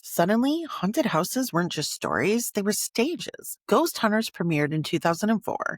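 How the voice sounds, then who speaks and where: A woman hosting a podcast, reading scripted documentary narration with measured, dramatic phrasing and pauses for emphasis. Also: mid-range voice, no echo, clean studio sound. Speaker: podcast host.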